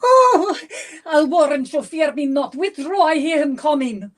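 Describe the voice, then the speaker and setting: A woman's voice: high-pitched, animated, unhurried. A woman playing Queen Gertrude, frightened and pleading, in a comic stage performance in a theater. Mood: eager.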